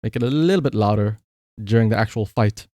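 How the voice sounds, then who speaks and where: A man doing casual livestream gaming commentary in an excitable, emphatic way, prone to shouting and swearing. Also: fairly low pitch, fairly quick, no echo, clean studio sound. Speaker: live streamer.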